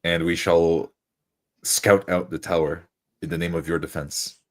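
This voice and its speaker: Male tabletop player, extremely low voice, narrating his character's moves and lines. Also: flat delivery, measured pace. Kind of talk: roleplay, narrating and speaking in character.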